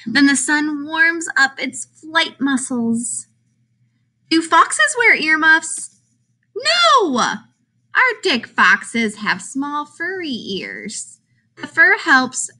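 A woman reads a picture book aloud for young children in a high, bright voice. Her delivery is very animated, swooping up and down, with emphatic questions and answers. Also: drawn-out words. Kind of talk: expressive children's read-aloud narration.

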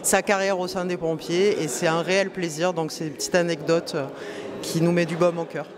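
A woman's voice: on the low side, level tone, normal speed, crowd noise. A woman in her forties speaking as a club representative in an interview. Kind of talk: on-camera interview. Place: large indoor hall. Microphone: handheld club microphone. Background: music.

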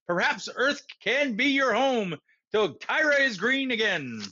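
A man's voice: very high voice, big pitch swings, measured pace, sharp tone, highs cut off, pitched above the performer's own voice, heavily acted. A man voicing a sensible, decisive father in a storybook reading. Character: sensible father, good at math.

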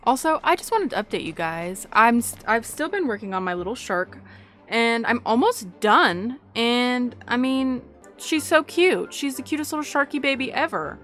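A woman's voice: on the high side, expressive, moderate pace, fairly bright tone, soft background music. A young woman hosting a gaming video, chatting casually over gameplay.

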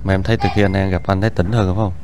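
A man's voice: deep voice, little pitch movement, rapid-fire, gravelly, darkish tone. Southern Vietnamese man over noisy street sound. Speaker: interviewer, host of charity visit videos. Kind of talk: casual, probing street interview chat. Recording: noisy outdoor recording with traffic.

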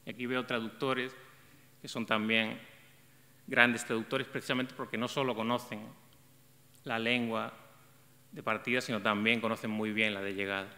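A middle-aged man sits at a table microphone and reads a prepared essay in a measured, reflective way, now and then restarting a phrase. His voice is bright and forceful, carried by the long reverb of a large hall. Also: fairly low pitch, level tone. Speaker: event coordinator presenting a talk on translation. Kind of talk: reading a prepared essay aloud. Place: arts center stage, seated at a table.

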